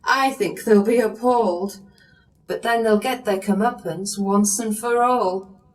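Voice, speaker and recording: A woman playing a brisk, cheery, no-nonsense fairy godmother in an audio pantomime, with a theatrical manner. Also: mid-range voice, far from the mic, poor audio. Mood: kindly, encouraging. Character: brisk, cheery fairy godmother who often talks in rhyme.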